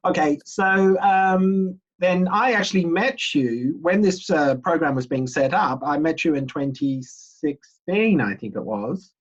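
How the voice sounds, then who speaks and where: A man in his sixties conducting an informal interview as host, speaking haltingly with frequent pauses, with a husky voice. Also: on the high side. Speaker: interviewer, university associate dean. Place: small home room, indoors. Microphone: computer microphone on video call. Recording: dull, lo-fi video call audio.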